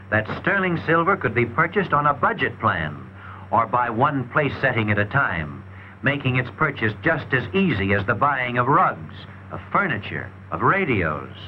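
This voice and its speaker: Male narrator for a 1940s sales training film: emphatic, lively intonation, dull lo-fi period sound. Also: mid-range voice, a little hiss or hum. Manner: emphatic, rhetorical, salesmanlike delivery.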